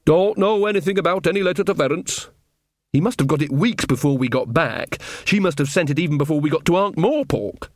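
A man's voice: a little high, animated, fairly quick, no room sound, clean studio sound, pitched above the performer's own voice. A man voicing an earthy, unsentimental old witch woman in an audiobook, blunt and motherly with folksy turns of speech. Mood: blank. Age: older. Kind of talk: audiobook character dialogue.

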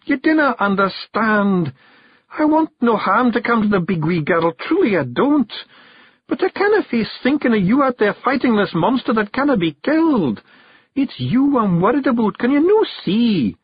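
High-pitched Scottish fairy matriarch. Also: male voice, very animated, fairly quick, husky, no room sound, highs cut off, exaggerated voice. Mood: tearful pleading. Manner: sharp and bossy, pleading when worried. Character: tiny fairy clan matriarch wife, commanding yet tender.

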